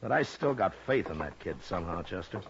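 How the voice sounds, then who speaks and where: Casting: Old West marshal, very low and authoritative, vintage radio drama sound, dulled top end. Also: male voice, animated, fairly quick, noisy background. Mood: calm concern. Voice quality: deep. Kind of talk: 1950s radio western acting and first-person narration. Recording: dull old radio recording, music behind.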